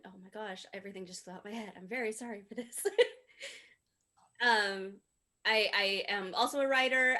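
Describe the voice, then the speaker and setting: A woman's voice: medium pitch, sharp tone. An American woman introducing herself on a convention panel, bubbly and a bit flustered, laughing easily. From United States. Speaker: panelist, writer.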